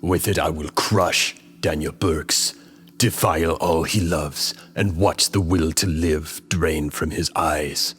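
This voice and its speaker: Evil warlord boss for audio drama, super husky low voice, bossy and vengeful, faint music behind. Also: male voice, steady tone, slow pace, fairly bright tone, heavily acted. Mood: menacing. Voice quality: husky, raspy. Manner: imperious, menacing. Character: vengeful imperious male warlord villain, commanding and cruel. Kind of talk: radio drama acting.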